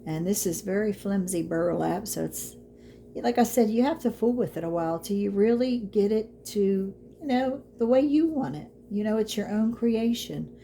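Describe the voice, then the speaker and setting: A woman's voice: medium pitch, expressive, moderate pace, vocal fry, mellow, slight background noise. A woman, losing her voice, chatting through a craft tutorial in an encouraging way. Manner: chatty, encouraging, says y'all a lot. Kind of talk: casual how-to vlog.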